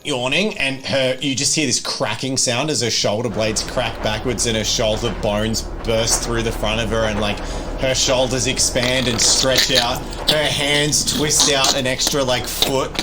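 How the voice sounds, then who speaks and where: Man, tabletop horror game master. Loose, conversational, graphic storytelling at middle pitch, faint noise in the recording. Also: steady tone. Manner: casual, graphic, halting descriptions.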